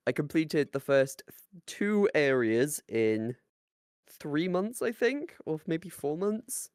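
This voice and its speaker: Giggly, lively podcast co-host. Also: medium pitch, slow, smooth, dry recording, clean studio sound. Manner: giggly, self-mocking, swears casually. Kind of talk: casual podcast chat.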